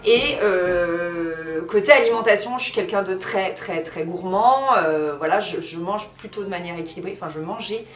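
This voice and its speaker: A woman's voice: lowish voice, normal speed, hardly any pauses, reverberant, slight background noise. A woman in her thirties, a vlogger, talking casually to camera in a house under renovation, with lots of fillers and restarts. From France. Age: 30s. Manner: chatty, frequent fillers and self-corrections. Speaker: lifestyle vlogger.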